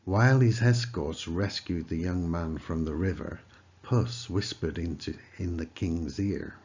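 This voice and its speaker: A man reading a scripted children's story as narrator, in a deliberate, expressive storytelling manner. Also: low voice, husky, highs cut off.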